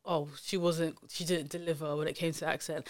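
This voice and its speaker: A woman's voice: deep voice, level tone, fairly quick, dry recording, studio quality. A woman hosting a casual podcast chat, talking loosely with lots of fillers and restarts. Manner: chatty, many fillers and self-corrections. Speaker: podcast host.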